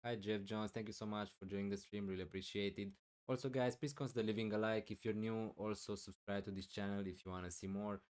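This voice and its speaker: Man, live streamer, low voice, smooth and clear, loud with lots of fillers, voice wearing thin. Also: fairly even intonation, fast talker. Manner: loud, thinking aloud with many fillers. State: losing his voice, clearing throat. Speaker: fantasy football streamer. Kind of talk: live stream answering chat questions.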